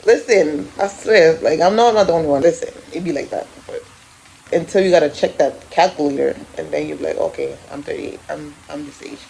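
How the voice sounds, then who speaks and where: A woman's voice: low voice, fairly quick, gravelly, a little hiss or hum, poor audio. A woman, chatting casually to her viewers at home. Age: 30s. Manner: chatty and animated, laughs often, talks to viewers directly. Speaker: vlogger. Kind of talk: casual vlog chat to camera. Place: at home in an apartment.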